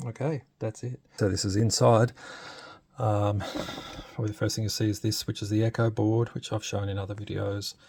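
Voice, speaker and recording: A man giving a casual, unscripted hands-on demo as a hobbyist, thinking aloud in a hesitant, understated way with a husky, gravelly voice. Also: lowish voice, level tone, normal speed, darkish tone. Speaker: radio hobbyist presenting a modification demo.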